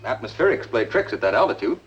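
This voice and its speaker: A man acts a self-assured, indignant scientist in a 1950s film. His delivery is brisk and challenging with animated pitch. The sound is muffled, with faint noise. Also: natural voice. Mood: guarded, businesslike.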